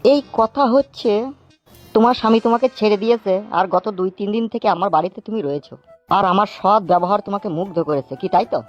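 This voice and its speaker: A man playing a pushy, smooth-talking suitor in a comedy cartoon, coaxing and repeating himself. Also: high voice, fast, highs cut off, faint music behind, close to an everyday voice. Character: smooth-talking pushy male suitor wooing an abandoned wife.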